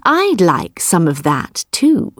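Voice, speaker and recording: A woman voicing a proud male tiger who asks slow, measured questions in a storybook reading. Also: fairly low pitch, huge pitch swings, fairly bright tone, no room sound, clean studio sound, full character voice. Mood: demanding.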